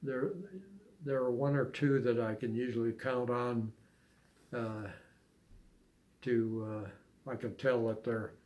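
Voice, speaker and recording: An older man chatting casually as an interviewee, recalling things in a halting, hesitant way with long pauses. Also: mid-range voice, level tone, darkish tone. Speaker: amateur harmonica player being interviewed. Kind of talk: casual reminiscing chat.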